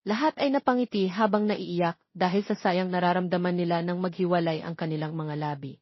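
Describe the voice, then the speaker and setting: A woman's voice: on the low side, fairly quick, dry recording, lo-fi sound. A woman with a Filipino accent reading a scripted romance story as an audiobook narrator.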